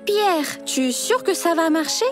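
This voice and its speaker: A gentle young cartoon girl. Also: extremely high pitch, animated, a little slow. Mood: caring. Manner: gentle, reasoning, caring. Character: thoughtful kind young girl. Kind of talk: dubbed cartoon acting. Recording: cartoon music score behind.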